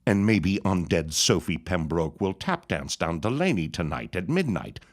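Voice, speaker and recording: An actor playing a sinister, scheming small-town reverend in an audio drama, gloating and menacing. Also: male voice, very animated. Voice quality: deep, slow, drawn-out menace. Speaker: Reverend Gaumont. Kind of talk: radio drama acting.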